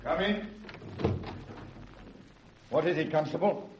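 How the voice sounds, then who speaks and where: A man's voice: fairly high pitch, takes it slowly, a little hiss or hum. A man playing a brusque, irritable senior police officer, curt and authoritative, in vintage radio drama style. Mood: businesslike. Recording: muffled old radio drama recording.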